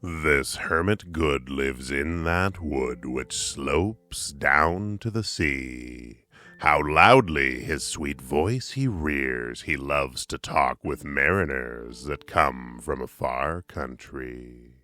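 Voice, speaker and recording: Male poetry narrator: extremely low, slow, dramatic, swelling and hushing, with music underneath. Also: animated. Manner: theatrical, with big swells and drops in volume. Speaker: poetry reader.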